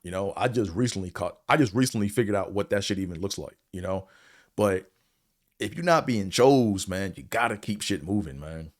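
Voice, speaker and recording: Deep-voiced blunt man ranting casually. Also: lively intonation, moderate pace. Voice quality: stutter. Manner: blunt, profane, rambling, fillers like "man" and "you know". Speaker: show host reviewing a series. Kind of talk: casual opinion monologue.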